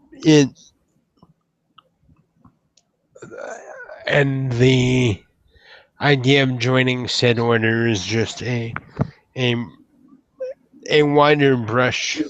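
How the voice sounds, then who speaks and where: Tabletop game role, man acting young would-be knight, hesitant and courteous, unhurried stammering delivery, dry sound. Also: mid-range voice, no room sound, lightly acted, rough recording. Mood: earnest, hesitant. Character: earnest, bashful young male would-be knight named Sam.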